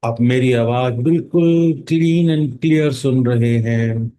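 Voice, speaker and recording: A man leading a guided meditation in a calm, instructing manner, with long pauses and drawn-out words. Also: mid-range voice. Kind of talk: guided meditation in Hindi mixed with English phrases.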